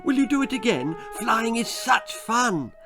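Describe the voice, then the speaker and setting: A man's voice: very high voice, big pitch swings, measured pace, music in the background, voice pushed up, heavily acted. A man voicing a precocious, frank baby girl in a children's storybook, with a chirpy, earnest manner. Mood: delighted.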